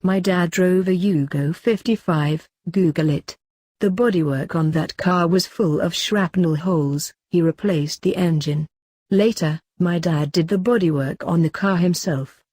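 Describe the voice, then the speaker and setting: Female narrator for read-aloud forum posts, dry close sound, flat steady delivery. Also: fairly low pitch, no echo.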